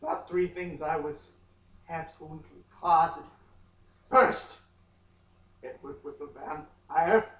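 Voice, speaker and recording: Male reader, slow and overwrought, comic dramatic delivery with long pauses; muffled, distant room recording. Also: a little high, a bit bright. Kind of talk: melodramatic comic dramatic reading of a novel. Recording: echoey room, far from mic, muffled.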